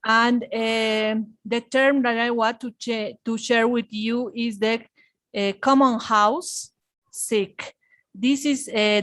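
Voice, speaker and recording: A woman's voice: fairly high pitch, a bit bright, dry recording. A woman from Bolivia, representing a church charity and speaking hesitantly as she searches for words. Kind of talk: panel contribution.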